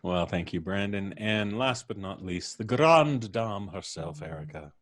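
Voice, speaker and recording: A man's voice: fairly low pitch, lively intonation, measured pace, no echo, studio quality. A man hosting a live podcast stream, chatting off the cuff with courteous, slightly theatrical turns of phrase. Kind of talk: unscripted closing remarks to a cast and audience.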